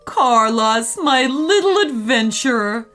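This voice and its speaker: Grateful dinosaur mum for a bedtime story, voiced by a woman, doting, high-pitched and gracious. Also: lively intonation, slow, a bit bright, faint music behind. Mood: delighted. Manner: affectionate, gracious. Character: warm, doting, grateful mother Tyrannosaurus.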